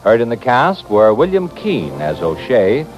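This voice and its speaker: A man's voice: mid-range voice, wildly swooping pitch, measured pace. A man with an American accent reading credits as a 1950s radio announcer. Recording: muffled old radio broadcast. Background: music.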